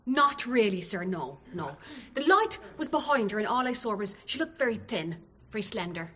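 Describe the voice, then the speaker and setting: A woman's voice: mid-range voice, very animated, normal speed, bright, forceful tone. A woman playing a chatty, deferential hotel chambermaid giving earnest, insistent answers in a radio drama. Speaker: actor playing a chambermaid witness.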